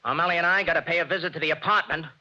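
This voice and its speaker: An actor playing a confident, wisecracking male private detective in a 1940s radio drama, talking fast and clipped. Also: on the high side, bright, forceful tone, muffled recording.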